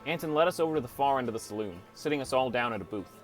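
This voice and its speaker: A man's voice: mid-range voice, expressive, rapid-fire, natural voice. An actor playing a downcast teenage boy who narrates his gaming adventure in an understated, wry first-person audiobook style. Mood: reflective narration. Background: music.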